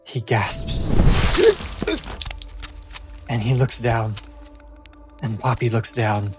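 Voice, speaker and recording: A male game master narrates a fantasy game with drama and suspense. He takes his time, stressing words deliberately in a medium-pitched voice, with loud music underneath.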